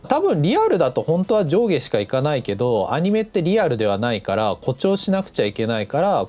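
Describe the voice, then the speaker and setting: Male instructor, animated pitch swings, casual step-by-step explanation, instructive delivery, music in the background. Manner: instructive, demonstrating step by step, counting aloud. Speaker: animation director teaching a lesson. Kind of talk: casual explanatory tutorial talk.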